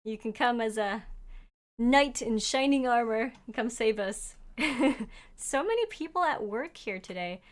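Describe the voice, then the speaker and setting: Young female streamer, Norwegian first language, higher voice, casual and laugh-prone chat. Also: animated, unhurried. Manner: chatty and giggly, laughs often; talks to chat while playing. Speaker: game streamer. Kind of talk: casual livestream chat, reading chat aloud.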